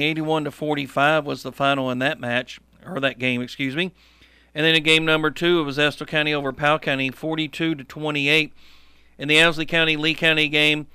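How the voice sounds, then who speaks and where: A man's voice: fairly high pitch, pushed and bright, smooth, no room sound. A man co-hosting a local sports radio show, reciting game scores and correcting himself as he goes. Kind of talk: game recap commentary. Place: radio studio. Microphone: studio microphone.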